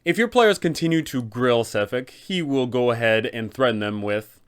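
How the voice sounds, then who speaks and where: Male host, medium pitch. Scripted narration guiding game masters through a tabletop scenario. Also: lively intonation, normal speed, clear, resonant tone.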